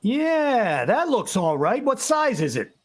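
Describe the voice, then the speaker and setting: A man plays a hard-to-please shopper in an old-time radio play. He talks slowly with swooping intonation, grudging and casual, over faint noise. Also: very high-pitched, huge pitch swings, full character voice. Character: unimpressed, penny-pinching shop customer. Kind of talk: vintage radio drama acting.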